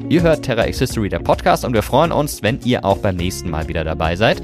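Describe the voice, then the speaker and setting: A man's voice: lowish voice, very animated, fast talker, music in the background. A man, hosting a podcast with scripted narration. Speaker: podcast host.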